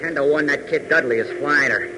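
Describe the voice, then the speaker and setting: An actor playing a blunt, grumbling mechanic sidekick with slangy, ungrammatical speech in a 1940s radio adventure. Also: male voice, on the high side, fairly quick, close to an everyday voice. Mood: grumbling, alert. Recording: noisy 1940s radio recording.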